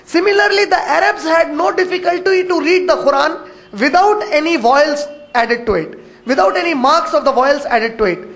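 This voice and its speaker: Very high-voiced man teaching Quran. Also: fairly bright tone. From India. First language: Urdu. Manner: measured delivery, rushing through honorific phrases. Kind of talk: religious lecture.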